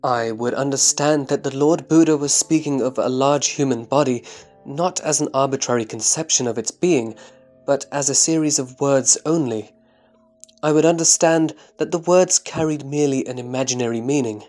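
A man playing a reverent disciple who answers his master in respectful, measured scripture recitation. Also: mid-range voice, a little mellow, faint music behind, close to an everyday voice. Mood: reverent, assured.

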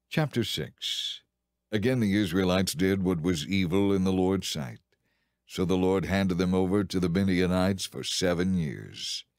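Low-voiced man narrating scripture. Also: a little mellow, no room sound, clean studio sound. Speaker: audiobook narrator. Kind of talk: dramatized scripted Bible narration.

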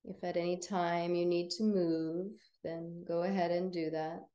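A woman teaching yoga nidra, guiding relaxation in a gentle, soothing, unhurried manner with long pauses. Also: on the low side, little pitch movement, roomy sound. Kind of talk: guided relaxation meditation.

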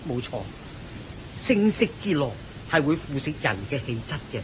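An actor playing an earnest, naive monk bodhisattva in an admonishing manner in a radio drama. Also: male voice, fairly high pitch, lively intonation, moderate pace, noisy background, lightly acted. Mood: earnest, calm.

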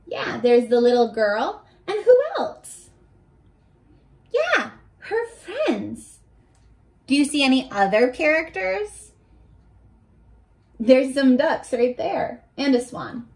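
A woman in her twenties reading aloud and teaching young children in an animated, encouraging way, pausing to ask questions, recorded at arm's length indoors. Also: sits high. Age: 20s. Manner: animated, encouraging, asks questions and pauses for answers. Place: small furnished bedroom, indoors.

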